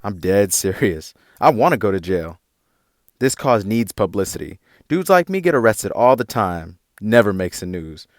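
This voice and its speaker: An actor playing a defiant, streetwise young activist in an audio drama, with a sardonic, informal way of talking. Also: male voice, on the low side, big pitch swings, moderate pace, dry recording, studio quality. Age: young adult.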